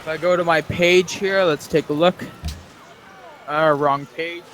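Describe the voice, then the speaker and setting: A man's voice: fairly high pitch, steady tone, measured pace, fairly bright tone, faint music behind. A man with a scratchy voice chatting casually and rambling as he hosts a video game let's play on a basic home microphone. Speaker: gaming let's-play host. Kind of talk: casual rambling gameplay chat. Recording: noisy home microphone recording.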